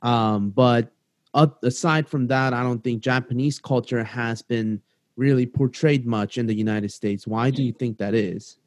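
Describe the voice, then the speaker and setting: A man from South Korea hosting a casual podcast interview, halting and full of ums and self-corrections. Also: medium pitch, fairly even intonation, no echo, clean studio sound. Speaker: podcast host.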